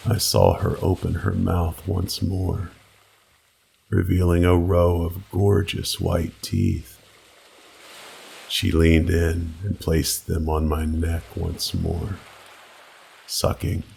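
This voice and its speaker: A man narrating a scripted first-person horror story with deliberate, dramatic pauses. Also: deep voice, completely flat delivery, gravelly, darkish tone, a little hiss or hum.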